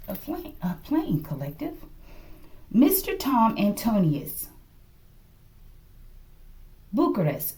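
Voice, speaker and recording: A woman hosts an improvised tarot reading in a mid-range voice with very varied intonation. She thinks aloud in short, halting phrases, speculating casually and often turning to her listeners to check in. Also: mid-range voice, dark tone. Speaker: tarot reader and channel host.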